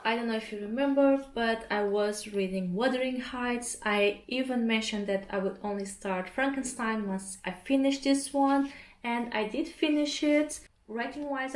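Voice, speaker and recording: A woman from Portugal whose first language is Portuguese, chatting casually and relaxed to camera as an art vlogger at home. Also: fairly high pitch. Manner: chatty, relaxed, often laughs mid-sentence. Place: home interior. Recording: roomy echo.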